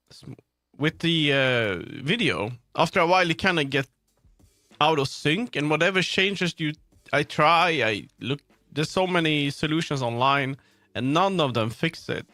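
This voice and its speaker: A man's voice: on the high side, bright, forceful tone, no room sound. A man livestreaming, chatting casually and informally about tech gear, with frequent swearing. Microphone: streaming microphone on a sound card. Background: music.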